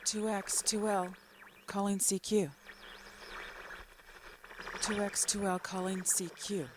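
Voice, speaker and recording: A lonely male ham radio operator calls out into the void. He repeats his call signs slowly in a slightly high voice, with a faint hiss behind him. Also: level tone. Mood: desperate. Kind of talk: 1930s radio drama acting.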